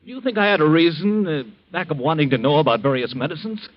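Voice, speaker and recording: An actor playing an indignant, incredulous husband suspected of murder in a 1940s radio melodrama, protesting haltingly. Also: male voice, a little high, lively intonation, a little hiss or hum, close to an everyday voice. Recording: dull vintage 1940s radio recording.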